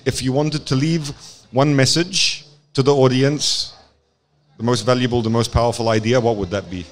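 A man's voice: mid-range voice, steady tone, normal speed. A young adult man as interviewer at a conference booth, affirming his guest with short agreements. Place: conference booth with plant wall. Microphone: boom studio mic.

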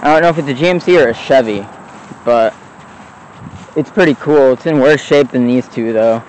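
A man's voice: medium pitch, normal speed, darkish tone, low-quality recording. A man casually narrating a walk around an abandoned lot with old trucks, talking offhand and rambling, recorded outdoors on a handheld phone. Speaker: vlogger exploring abandoned vehicles. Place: outdoors in an overgrown lot beside a road. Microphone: handheld phone camera. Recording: faint outdoor traffic noise. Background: faint road traffic.

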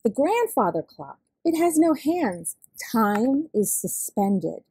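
A woman's voice: a little high, big pitch swings, takes it slowly, mellow, slightly rough, no room sound, heavily acted. A woman voicing a gushing, intense museum guide who loves art, dramatic and lingering on words. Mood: awed, dramatic.

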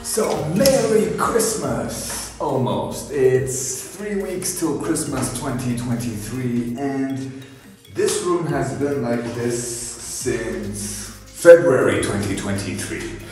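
A man's voice: medium pitch, lively intonation, takes it slowly, darkish tone, far from the mic. A man casually vlogging his house renovation, upbeat, chatty and jokey, talking in a bare room under construction. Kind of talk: casual home renovation vlog. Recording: very echoey empty room with long reverb, music underneath.

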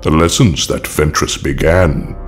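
A sinister old dark lord, super low voice, unhurried n formal, menacing, audio drama. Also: male voice. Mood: grandiose, menacing. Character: elegant, sinister older male dark lord, coldly persuasive. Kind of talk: fan audio drama acting. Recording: music behind the voice.

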